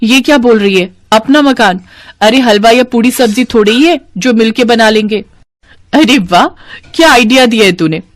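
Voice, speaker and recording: A woman voicing excitable young twin sisters in an expressive, chatty way for a dramatized moral story. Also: on the high side, normal speed, dry recording, lightly acted. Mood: surprised, eager.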